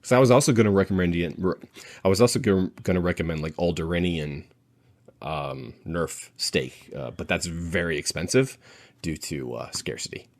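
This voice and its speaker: A man with a very low voice hosts a relaxed group chat. He rambles and hesitates, feeling his way through each thought in casual, unpolished talk. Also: normal speed. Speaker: podcast host.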